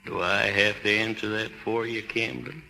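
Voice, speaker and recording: A gruff yet tender older American uncle from the country. The voice is gravelly and sharply forceful, drawn out slowly with rustic hesitation. It comes from an old 1930s radio play with some background noise. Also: male voice, fairly low pitch, level tone, heavily acted, rough recording. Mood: disapproving.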